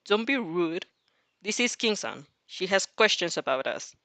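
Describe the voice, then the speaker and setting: A woman voicing a serious, reserved teenage boy, measured and quiet, in a story reading. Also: on the low side, expressive, no echo. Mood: stern.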